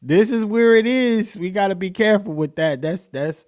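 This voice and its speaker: A man's voice: sits high, expressive, moderate pace, a little mellow. A man hosting a podcast, reacting to a dating show clip with casual, joking commentary and frequent laughter. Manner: laughing, joking, playful slang.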